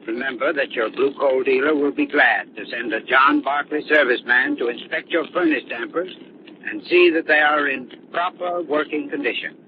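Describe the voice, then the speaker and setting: A man giving measured, instructive scripted advice as an expert in a 1930s radio advertisement. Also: medium pitch. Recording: dull, noisy 1930s radio recording.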